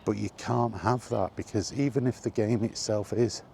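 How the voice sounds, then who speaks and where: Man, lowish pitch, very mellow tone, weary and grumbling, freewheeling vlog monologue, background ambience. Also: fairly even intonation, normal speed, creaky, a little hiss or hum. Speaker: vlogger. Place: walking while talking, ambient noise behind.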